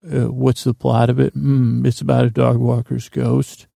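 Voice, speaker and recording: A man hosting a podcast with a meandering, halting bedtime monologue that keeps drifting off track, in a gravelly, creaky voice. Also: medium pitch, flat delivery, mellow, dry recording, clean studio sound. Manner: meandering, halting, digressive, loses his thread. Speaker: podcast host. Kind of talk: rambling bedtime monologue meant to help listeners sleep.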